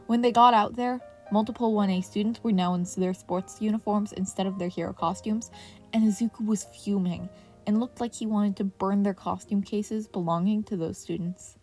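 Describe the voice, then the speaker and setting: A woman's voice: medium pitch, fairly even intonation, moderate pace. A woman reading scripted fiction aloud as a podfic narrator. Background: soft piano music.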